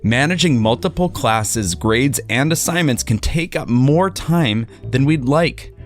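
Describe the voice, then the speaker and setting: A man reads a sponsor ad in a measured, scripted way, taking his time over every phrase. His voice is mid-pitched, and faint music plays behind him. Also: animated. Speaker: ad announcer. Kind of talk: read sponsor ad.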